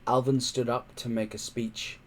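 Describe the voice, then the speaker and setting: A man reading a children's adventure story aloud in a dramatic, suspenseful way, with emphatic pauses. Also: medium pitch, level tone, a bit bright. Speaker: storybook reader.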